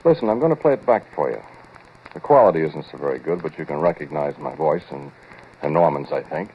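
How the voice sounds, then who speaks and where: A man's voice: extremely low pitch, animated, fairly quick, slight background noise. A male actor playing a haunted scientist who narrates a horror story in a confiding, ominous 1940s radio style. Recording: muffled old radio recording over music. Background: music.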